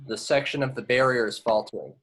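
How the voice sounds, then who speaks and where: A man narrates screenplay action in a middle-pitched voice. He reads it plainly, as part of an amateur table read. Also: fast, fairly bright tone, husky, low-quality recording. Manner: stumbles on invented place names. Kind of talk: reading screenplay action lines.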